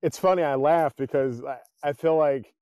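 A man's voice: a little high, normal speed, no room sound, muffled recording. A male podcast host in casual chat, laughing easily and rambling.

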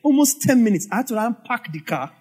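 A man's voice: very high-pitched, sing-song, fast talker, darkish tone, husky. A man with a Nigerian English accent preaching a sermon as a pastor, telling the story in a conversational way with asides.

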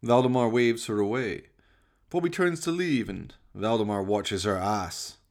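A man reading scripted narration for a horror radio play in a theatrical, deliberate storytelling manner. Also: fairly low pitch.

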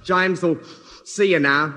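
An actor playing a shifty office doorman in 1950s radio comedy, speaking in a halting, drawn-out way. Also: a little high, bright, forceful tone. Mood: formal, halting. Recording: reverberant vintage radio recording.

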